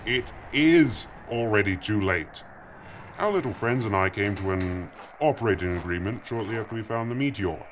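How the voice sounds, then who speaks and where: Man playing a low, condescending villain. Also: expressive, moderate pace, lo-fi sound, a little hiss or hum, close to an everyday voice. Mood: cruel gloating. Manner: condescending, mock-polite. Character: smug, cruel mad villain allied with aliens. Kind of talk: audio drama acting.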